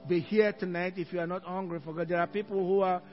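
A man's voice: sits high, level tone, fast, faint music behind. A middle-aged Nigerian pastor with a Nigerian accent, preaching an evening sermon with emphatic scripture quotes and chuckling asides to his congregation. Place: church sanctuary. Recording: dull lo-fi church recording.

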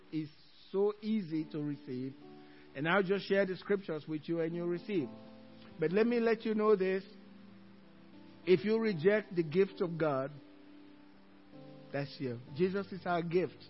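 A middle-aged Nigerian pastor with a Nigerian accent, preaching an evening sermon with emphatic scripture quotes and chuckling asides to his congregation. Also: high voice. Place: church sanctuary. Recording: dull lo-fi church recording.

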